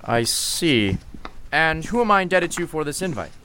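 A man's voice: on the high side, big pitch swings, moderate pace, pushed and bright, slight background noise. A man playing an affable, courteous district attorney in a radio drama, chatty and curious. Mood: curious, friendly. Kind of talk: golden-age radio drama acting.

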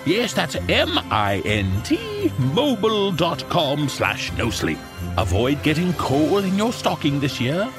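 A jolly Santa Claus sells a holiday deal in a theatrical podcast ad. His voice is high and swings up and down dramatically over a clear music bed. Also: wildly swooping pitch, unhurried. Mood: jolly salesmanship. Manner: theatrical, salesy. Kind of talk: scripted podcast advertisement.

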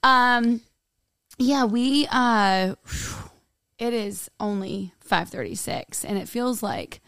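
A hoarse woman with a raspy voice, co-hosting a podcast in casual, joking chat. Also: a little high, expressive, slow pace, a bit bright, no room sound, studio quality. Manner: joking, drawling, breaks into laughter. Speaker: podcast co-host. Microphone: studio microphone.

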